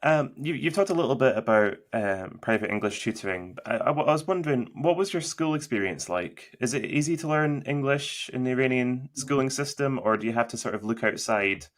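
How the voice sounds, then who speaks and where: A man interviewing a guest in a relaxed chat, asking long, meandering questions full of fillers and restarts. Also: mid-range voice, brisk, few pauses. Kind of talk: casual interview conversation.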